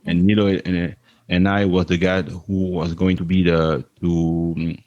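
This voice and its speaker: A man's voice: low-pitched, flat delivery, measured pace, darkish tone, muffled recording. A man who speaks English as a second language, talking casually as a podcast guest. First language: not English. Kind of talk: casual interview talk.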